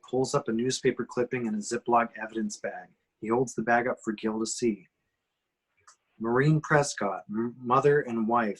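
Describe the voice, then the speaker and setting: A man narrates the action lines of a film script at a home table read. His voice is mid-pitched and noticeably husky. The delivery is scripted narration. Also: rough recording. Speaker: reader of stage directions.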